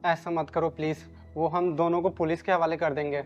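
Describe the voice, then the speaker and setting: A man's voice: fairly high pitch, flat delivery, a little fast, highs cut off, soft background music, natural voice. A young man playing a devoted, anxious runaway groom in a Hindi moral drama. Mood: anxious devotion.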